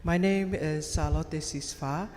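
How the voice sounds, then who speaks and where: A woman's voice: sits low, steady tone, takes it slowly, a little mellow. Casting: woman, courteous meeting speech, reverberant hall with some noise. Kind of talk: polite introduction to a meeting. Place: community hall. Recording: echoey hall, background noise.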